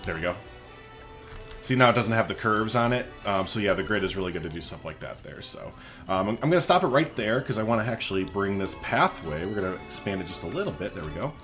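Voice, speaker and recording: Lively low-voiced man commentating on a game. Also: fast, faint music behind. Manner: chatty, thinking aloud, informal. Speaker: let's play host. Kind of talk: casual gameplay commentary.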